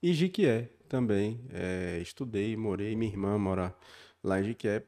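Earnest Bahian man in his forties, low voice. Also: a little slow. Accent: Bahian Brazilian Portuguese. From Bahia, Brazil. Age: 40s. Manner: warm and earnest, frequent tag questions. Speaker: podcast guest. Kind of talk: casual interview storytelling.

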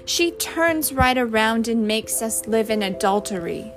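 A woman's voice: fairly high pitch, lively intonation, a little slow, a bit bright, soft background music. A woman voicing an indignant, blustering working-class husband in an audiobook story, with slangy, emphatic speech. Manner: uneducated slangy speech, punctuates with "see?".

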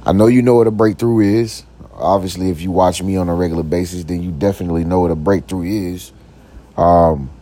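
A young man hosting his own podcast, talking loosely with lots of slang and "you know what I'm saying", joking around as he goes. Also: mellow. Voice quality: voice recently got deeper. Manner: jokes around, puts on silly voices. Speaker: podcast host. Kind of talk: casual motivational talk.

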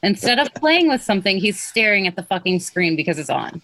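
A woman's voice: mid-range voice, very animated, brisk, a bit bright, no echo, low-quality recording. A woman chatting casually and bluntly, with some swearing, on a video call. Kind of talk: casual chat.

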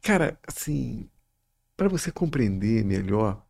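Gravelly, husky Carioca man. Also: medium pitch, very animated, slow pace, darkish tone, no room sound. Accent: Carioca Brazilian Portuguese. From Rio de Janeiro, Brazil. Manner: rambling and profane, repeats words often. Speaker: guest, writer and musician. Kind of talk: casual podcast chat.

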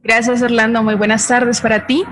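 A woman's voice: a little high, level tone, normal speed, a bit bright, slight background noise. A woman with a Colombian accent co-hosting a live online show, giving greetings and reading out listeners' messages. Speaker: co-host handling audience messages.

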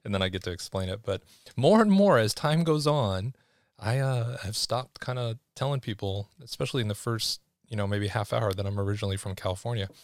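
A man's voice: fairly low pitch, a little fast, no echo, clean studio sound. A man in his forties hosting a podcast, chatting casually and animatedly, acting out what other people say. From United States. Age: 40s. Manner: animated, quotes people in lively voices. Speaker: podcast host.

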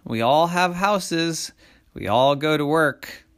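A man's voice: fairly high pitch, takes it slowly, a bit bright, pitched above the performer's own voice. A man voicing a big grown-up parent in a children's story, earnest and reasonable, pleading in a lilting manner.

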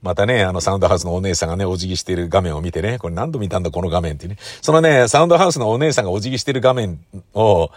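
Low-voiced man chatting casually, dry close sound. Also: animated, racing, hardly any pauses, dry recording. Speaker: audio enthusiast host. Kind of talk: casual solo chat.

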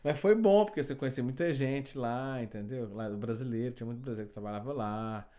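A Brazilian man casually telling a story about job hunting as a new immigrant, animated and laughing often. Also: mid-range voice, fast. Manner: animated, laughing, many tag questions.

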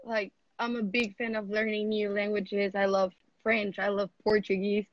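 A woman's voice: medium pitch, level tone, moderate pace, lo-fi sound. A seventeen-year-old woman from Mexico, an athlete, chatting casually in an interview over a video call and saying "like" a lot. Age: teens. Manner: chatty, heavy use of "like".

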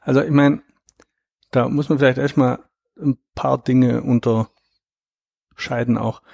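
A man in his thirties, a guest in an interview, explaining at length in a reflective, measured way with a gravelly, husky voice. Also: mid-range voice, fairly even intonation, a little mellow, no echo, highs cut off. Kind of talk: conversational interview.

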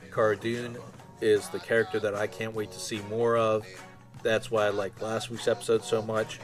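Casual man podcasting over soft music. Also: on the low side. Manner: informal, conversational. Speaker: podcast host. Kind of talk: casual solo fan podcast chat.

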